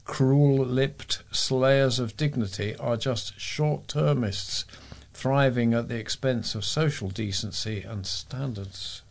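A man reading readers' letters aloud as a volunteer on a local news recording, with a measured delivery and the occasional stumble. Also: medium pitch, vocal fry.

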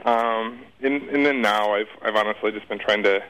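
A man's voice: fairly low pitch, moderate pace, a bit bright, clean tone, low-quality recording. A man speaking as a guest in a casual interview, talking in a rambling, hesitant, informal way with some slang.

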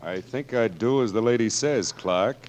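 A man's voice: on the low side, moderate pace, a little mellow, dull audio, lightly acted. An actor playing a gallant, bashful man who stammers awkwardly, in a 1940s radio comedy. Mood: gallant, flustered. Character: gallant, bashful gentleman, easily flustered.

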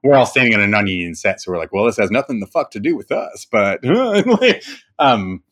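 A man's voice: on the low side, big pitch swings, moderate pace, a bit bright. A man co-hosting a casual podcast who wisecracks, swears freely and gets earnest on a rant. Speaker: podcast co-host. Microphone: home podcast microphone.

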